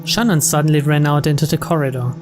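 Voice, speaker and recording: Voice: mid-range voice, fairly even intonation, fast. A narrator reading out the story text of a visual novel as scripted narration.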